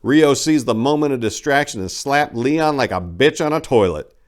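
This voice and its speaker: A man's voice: medium pitch, expressive, normal speed. A man giving a theatrical, emphatic dramatic reading of a short story as narrator.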